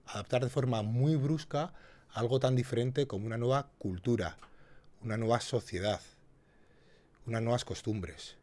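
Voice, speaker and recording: A man's voice: mid-range voice, moderate pace, darkish tone. A man hosting an interview podcast, introducing the episode and guiding the guest through his story. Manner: builds up intro with rising energy. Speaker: podcast host.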